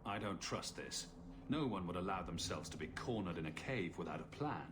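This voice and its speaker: An actor playing a wary, plain-spoken champion in a fantasy video game, speaking in a matter-of-fact, skeptical way. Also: male voice, low-pitched, animated, normal speed, background noise. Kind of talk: video game character acting.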